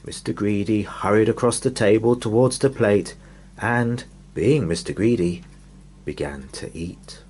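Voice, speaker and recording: A man reading a children's bedtime story in an expressive, dramatic way, lingering on repeated words. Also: lowish voice, a little slow, slight background noise.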